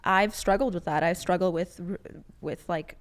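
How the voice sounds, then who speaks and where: Female podcast co-host, slightly low voice, informal, animated, thinking out loud in relaxed conversation. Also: moderate pace, a little hiss or hum. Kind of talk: casual unscripted podcast chat.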